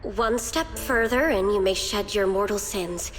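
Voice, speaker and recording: An actress playing a grave, haunted young woman in a dramatic video game, speaking in halting, solemn phrases. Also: mid-range voice, slight background noise, close to an everyday voice. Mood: solemn resolve. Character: grave, haunted young woman, lost survivor turned devout seeker. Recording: reverberant hall with music behind.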